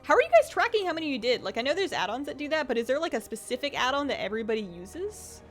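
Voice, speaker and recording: A woman's voice: high voice, sing-song, fast talker, faint music behind. A woman game streamer chatting casually and rambling as she plays, her voice a little strained from talking. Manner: chatty, rambling, reads viewer comments aloud. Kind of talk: casual livestream chat while gaming.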